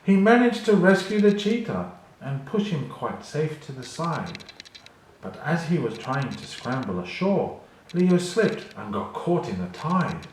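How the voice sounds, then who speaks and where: A man storyteller giving an expressive children's read-along narration. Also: a little high, a little slow. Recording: very echoey room, faint noise.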